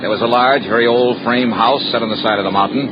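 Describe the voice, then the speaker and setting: A man's voice: medium pitch, fairly even intonation, quick pace, noisy background, close to an everyday voice. An American man playing a hard-nosed, suspicious insurance investigator in a 1950s radio drama, wry, clipped and needling, narrating his own case. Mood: relaxed narrating. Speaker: lead actor and narrator. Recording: dull vintage radio recording with music.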